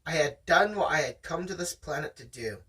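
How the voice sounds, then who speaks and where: Amateur story reader with animated pitch and a forceful tone, casual chat between passages, sounds slightly off-mic. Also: brisk, slightly rough, far from the mic. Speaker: host reading a novel aloud.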